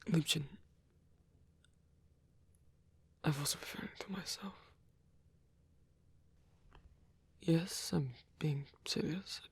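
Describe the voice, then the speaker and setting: A man playing a lonely, tender old vampire with a German accent in an ASMR roleplay, speaking softly and haltingly with formal phrasing. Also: on the high side, no room sound, heavily acted. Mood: earnest, awkward. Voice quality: breathy, husky. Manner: hesitant, halting, formal phrasing, soft-spoken.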